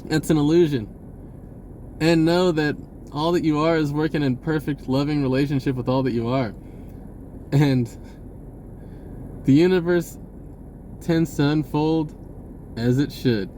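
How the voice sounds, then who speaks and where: A man giving an unscripted personal message in a casual, halting, rambling way with short broken phrases. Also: fairly high pitch, steady tone, mellow, slight background noise.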